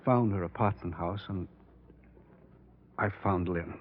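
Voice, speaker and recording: An actor playing a grieving, resigned middle-aged doctor and father in old radio drama, speaking haltingly with a weary, subdued manner. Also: low-pitched. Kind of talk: 1950s radio drama acting. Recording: muffled, noisy old radio recording.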